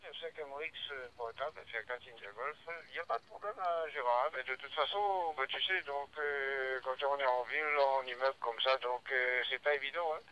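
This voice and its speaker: A man's voice: mid-range voice, normal speed, few pauses. Hesitant man on a lo-fi radio link. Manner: hesitant, searching for words. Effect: radio transmission. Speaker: amateur radio operator. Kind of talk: casual ham radio chat. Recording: dull lo-fi radio link, faint noise.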